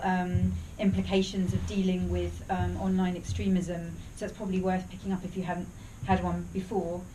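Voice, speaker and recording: A woman researcher talks through an answer with many restarts, her voice heard from some distance. Her pitch sits in an ordinary middle range. A faint hiss of the room stays behind her. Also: a little mellow. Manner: thoughtful, frequent restarts and hedges. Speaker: researcher presenting at a briefing. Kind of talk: academic Q&A answer.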